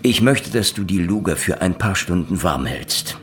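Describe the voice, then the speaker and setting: An actor playing a hard-boiled, world-weary private detective in a German radio play, dry and laconic in manner. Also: male voice, deep voice, animated, moderate pace, fairly bright tone. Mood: dry, insistent. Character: sardonic hard-boiled male private detective in the Soviet Union. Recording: radio play with clear ambient noise.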